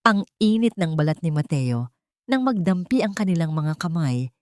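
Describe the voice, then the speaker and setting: A woman reads a dramatic scripted story in an emotive, measured way. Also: deep voice, darkish tone, dry recording, studio quality. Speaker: story narrator.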